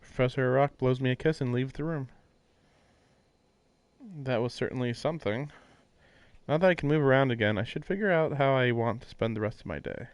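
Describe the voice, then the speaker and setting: A man's voice: mid-range voice, brisk, pauses often, clear, resonant tone, dry recording. A man doing casual livestream commentary and reading aloud, puzzled and musing as he goes. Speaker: livestreamer playing a visual novel. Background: game music.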